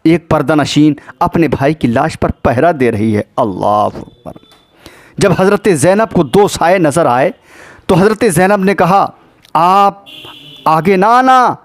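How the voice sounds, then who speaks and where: A man delivering a mournful religious sermon as dramatic storytelling, grieving and emotive, with drawn-out pauses and recited verse. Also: fairly high pitch, lively intonation, no room sound. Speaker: religious orator narrating the Karbala tragedy.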